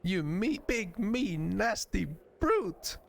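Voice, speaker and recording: Game role: sweet, timid little young woman, played by a man, high and swooping, gushing and hesitant. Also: huge pitch swings, moderate pace, creaky, heavily acted. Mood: tentative scolding. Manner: hesitant, gushing, exaggerated when scolding.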